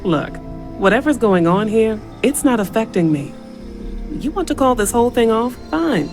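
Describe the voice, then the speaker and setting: An actress playing a focused, brisk scientist in a video game, precise and businesslike, with a careful but impatient manner. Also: female voice, a little high, big pitch swings. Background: music.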